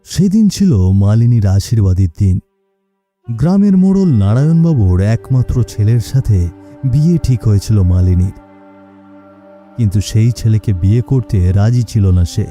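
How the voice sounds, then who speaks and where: A man narrating a ghost cartoon story in an even storytelling manner. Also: mid-range voice, normal speed, soft background music.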